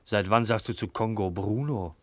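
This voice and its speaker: A man's voice: fairly low pitch, moderate pace, no room sound, close to an everyday voice. A man playing a jovial, mocking cynic in a German radio play, with a teasing manner. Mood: mocking amusement. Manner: teasing, chuckling. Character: mocking, jovial, cynical male drinking companion.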